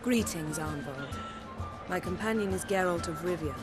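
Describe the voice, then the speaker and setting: An actress playing a poised, sharp-tongued aristocratic woman in a fantasy game, measured and haughty. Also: fairly low pitch, natural voice. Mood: composed, cutting. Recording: loud music behind the voice.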